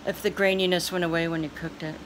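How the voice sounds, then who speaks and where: An older woman filming a home cooking video on her phone, adding slow asides and chuckling now and then. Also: lowish voice, little pitch movement, background noise. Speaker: co-host filming. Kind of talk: casual cooking demo. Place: small home kitchen. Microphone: handheld phone.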